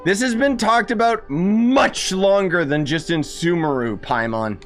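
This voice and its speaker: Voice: mid-range voice, a little slow, soft background music. A young adult gaming streamer giving casual, slangy playthrough commentary, sarcastic and exasperated.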